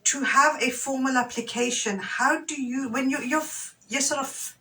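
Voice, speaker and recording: A woman's voice: sits high, moderate pace, bright, forceful tone, slight background noise. A woman, a guest lawyer on a radio discussion, explaining things earnestly and firmly.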